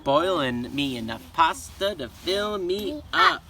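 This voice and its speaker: A man voicing an eager, boastful, simple young villager in a storybook, given to chanting and shouting. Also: on the high side, takes it slowly, vocal fry, slight background noise, full character voice. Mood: eager, scheming. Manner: excitable, sing-song chanting and shouting.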